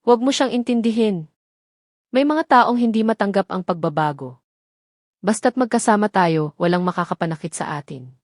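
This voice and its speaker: A woman's voice: medium pitch, lively intonation, normal speed, a little mellow, no echo, clean studio sound, close to an everyday voice. A woman voicing a determined, protective billionaire husband in an audio drama, earnest and firm. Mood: reassuring.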